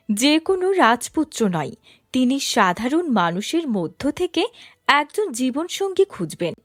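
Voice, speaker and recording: Woman, fairy-tale narrator, scripted, smooth storytelling, lively melody. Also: high voice, dry recording, clean studio sound.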